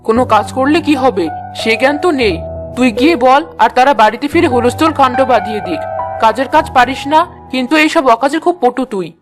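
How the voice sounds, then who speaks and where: A woman voicing an irritable, sharp-tongued village mother who scolds, in a fairy-tale cartoon. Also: high voice, animated, fast talker, over music. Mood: exasperated.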